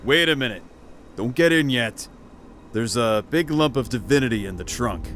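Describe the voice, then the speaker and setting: A man's voice: mid-range voice, animated, moderate pace, a bit bright, slight background noise, natural voice. A man playing a blunt, puzzled companion in an audio drama. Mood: alert.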